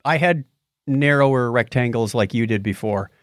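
A man's voice: medium pitch, normal speed, dry recording, studio quality. A middle-aged man co-hosting a podcast, chatting casually about gadgets. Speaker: podcast co-host.

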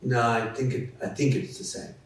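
A man's voice: mid-range voice, level tone, normal speed. A middle-aged Australian man telling personal stories as an interview guest, easygoing with small chuckles and restarts. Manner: easygoing, chuckles now and then, many fillers and restarts. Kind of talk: relaxed personal storytelling. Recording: echoey room, distant mic, faint music.

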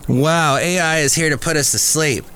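A man's voice: on the high side, normal speed, very noisy. A man hosting a podcast in a casual, chatty solo style. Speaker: podcast host.

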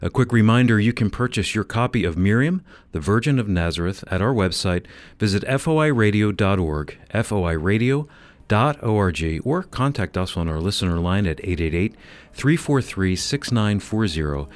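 A man's voice: on the low side, animated, moderate pace, no room sound. A man working as a radio co-host and announcer, delivering scripted program lines. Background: background music.

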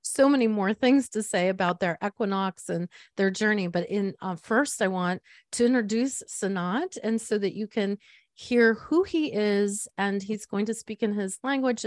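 A woman's voice: medium pitch, a little slow, no echo, clean studio sound. A woman hosting a casual interview, talking with eager enthusiasm. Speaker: host.

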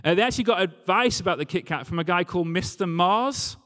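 A man's voice: high voice, expressive, fast, a bit bright. Man preaching in a reverberant hall. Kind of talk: sermon with storytelling. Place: large church hall, speaking to a congregation. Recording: live talk in a reverberant hall.

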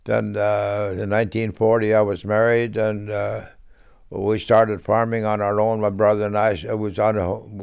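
A man of about a hundred from rural Minnesota, who grew up speaking Norwegian, telling old memories in a halting, folksy way. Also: lowish voice, little pitch movement, creaky, a little mellow, clean tone, no echo. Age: about 100. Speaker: interviewee, auctioneer. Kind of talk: recorded reminiscence.

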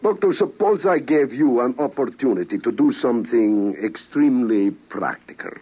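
An actor playing a grave, persuasive president of a ruined world in an old-time radio drama, speaking in a solemn, measured, rhetorical way. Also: male voice, mid-range voice, expressive, slightly rough. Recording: muffled old radio recording.

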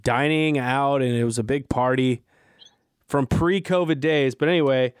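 A man's voice: mid-range voice, fairly even intonation, moderate pace, clear, resonant tone, no room sound, studio quality. Upbeat chatty male podcast host. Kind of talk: casual podcast intro and interview.

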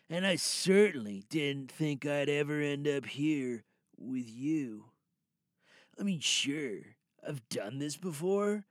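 A man's voice: on the high side, no room sound. Male actor as earnest, curious man in an audio drama monologue, hesitant and intimate, dry close sound.

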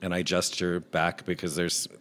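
A man with a gentle, somewhat low voice that doesn't carry far. He co-hosts a relaxed talk show and spins a story with plenty of animation, slipping into little impressions of the characters he describes. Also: normal speed. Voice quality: soft voice. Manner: animated storyteller, mimics people in anecdotes. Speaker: podcast co-host. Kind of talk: casual storytelling chat.

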